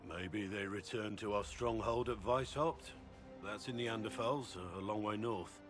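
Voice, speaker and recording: Gruff, earnest warden, middle-aged man male actor, low register, video game fantasy, music underneath. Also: normal speed, natural voice. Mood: guarded, earnest.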